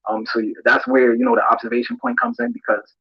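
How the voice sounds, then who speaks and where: A man answering interview questions in a reflective, hesitant and measured way, on muffled played-back audio. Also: medium pitch, very animated, slightly rough, no room sound.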